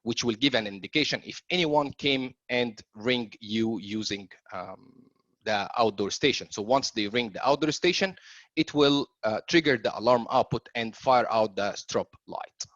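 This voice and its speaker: A man's voice: mid-range voice, moderate pace, a bit bright, dry recording. Hesitant man presenting, dull dry audio. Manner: hesitant, frequent long ums and uhs mid-sentence. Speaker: product trainer presenting a webinar. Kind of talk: explanatory slide presentation, loosely scripted.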